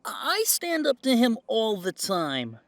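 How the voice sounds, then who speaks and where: A man's voice: high-pitched, huge pitch swings, slow pace, no room sound, full character voice. Actor as nervous good-natured man, hesitant, exasperated, dry close recording, audio drama. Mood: defensive, flustered. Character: Chester, anxious, good-natured man intimidated by his disapproving father. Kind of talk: audio roleplay drama acting.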